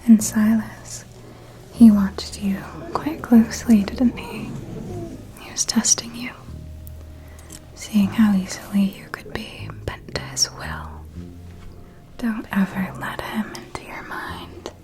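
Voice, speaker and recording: A woman playing an elegant, knowing vampire mentor in an ASMR roleplay, in a soft, breathy, genteel whisper. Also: mid-range voice, sing-song. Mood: cautioning, proud. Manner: slow, deliberate, genteel, intimate. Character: elegant female vampire mentor, possessive, knowing, quietly proud.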